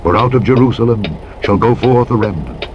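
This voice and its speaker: A man's voice: mid-range voice, a little fast. A man narrating scripture from a script in a solemn, formal manner. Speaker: audiobook Bible narrator. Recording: vehicle engine noise behind the voice. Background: truck driving sounds from a simulator game.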